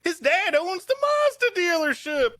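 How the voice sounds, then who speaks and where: Guy doing a pompous indignant lawyer, high pitched and swooping, comedy podcast plea. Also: big pitch swings, measured pace, bright, forceful tone, dry recording, clean studio sound, heavily acted. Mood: pleading. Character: pompous, indignant male defense lawyer pleading for a client.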